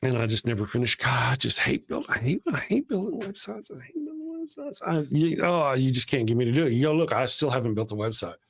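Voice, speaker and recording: A man's voice: medium pitch, big pitch swings, fast talker, vocal fry, hardly any pauses, fairly bright tone. Chatty self-deprecating man hosting live. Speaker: host of a live show. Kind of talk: casual live-stream chat.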